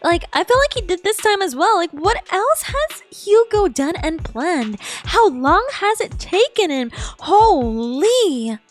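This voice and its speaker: A woman's voice: extremely high pitch, slow pace, few pauses, faint music behind. A woman streaming a game live, reacting playfully and expressively and reading dialogue aloud. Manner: playful, expressive, cutesy exclamations.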